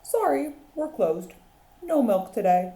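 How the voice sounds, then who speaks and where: A woman voicing polite but firm farm animals from a picture book, with a measured, formal letter-reading cadence in a roomy space. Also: a little high, very animated, warm tone, exaggerated voice. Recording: roomy echo on the voice.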